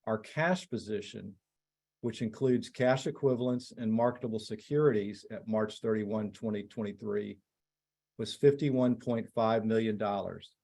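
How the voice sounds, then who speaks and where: A man, a finance chief, formally reading a scripted financial report in a measured, matter-of-fact way. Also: lowish voice, vocal fry, mellow.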